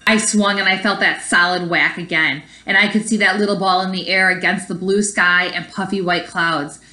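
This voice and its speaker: A woman's voice: fairly low pitch, fairly even intonation, normal speed, sharp tone. A woman teacher reading a children's story aloud expressively and explaining it to young pupils. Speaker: elementary school teacher.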